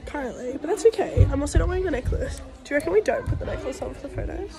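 A woman's voice: high-pitched, expressive, rapid-fire, creaky. A young woman chatting casually backstage as a vlogger, filmed on a phone at arm's length. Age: young adult. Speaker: vlogger and pantomime performer. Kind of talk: casual backstage vlog chat. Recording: phone video with loud crowd and music behind.

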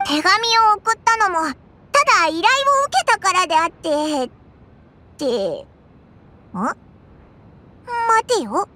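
Very high, bright childlike companion. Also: female voice, sing-song, measured pace, pauses often, heavily acted. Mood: dismissive, then realizing. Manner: blunt, boyish speech. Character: small floating childlike companion, cheeky, blunt and excitable. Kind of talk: Japanese game voice acting.

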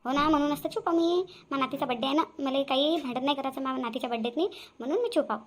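A woman's voice: sits high, rapid-fire, close to an everyday voice. A woman voicing a proud, grumbling grandmother in a cartoon family drama, speaking with a Vidarbha Marathi accent. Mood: offended. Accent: Vidarbha Marathi (Varhadi). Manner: nagging, complaining, muttering asides. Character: proud, grumbling, boastful grandmother and mother-in-law.

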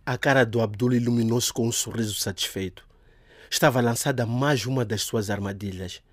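A man tells a scripted drama as its narrator. His voice is medium in pitch and recorded dry and close. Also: fairly quick, no room sound.

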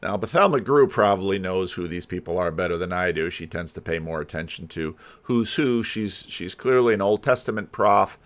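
A man's voice: deep voice, lively intonation, normal speed, hardly any pauses. Older man thinking aloud. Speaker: commentary host. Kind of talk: casual rambling commentary on a video. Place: home room lined with books. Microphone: desk microphone, close, with headphones.

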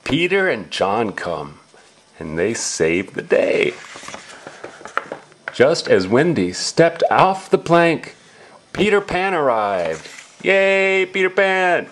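A man's voice: a little high, sing-song, takes it slowly, long pauses, fairly bright tone, a little hiss or hum. A man reading a picture book aloud to a young child, asking simple questions and exclaiming as he explains.

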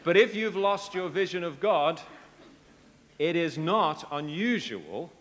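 Middle-aged British preacher, animated storyteller, fairly high voice, long tent reverb. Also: male voice, slow, a little hiss or hum. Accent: British English. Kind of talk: sermon. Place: large convention tent.

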